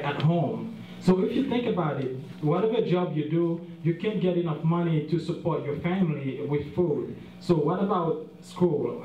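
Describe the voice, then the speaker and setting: Young man, distant and roomy. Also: fairly high pitch. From Haiti. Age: young adult. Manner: earnest, plain-spoken, repeats words. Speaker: guest speaker. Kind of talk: presentation with slides. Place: large dinner hall. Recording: roomy, distant, dull sound, faint hiss.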